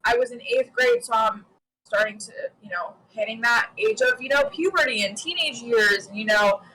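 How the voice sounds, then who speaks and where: Young woman, US-raised, higher pitch, bright and animated, casual streamer chat. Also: normal speed, slight background noise, low-quality recording. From United States. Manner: animated, with frequent "you know" and "right?". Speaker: guest commentator. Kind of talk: casual political discussion.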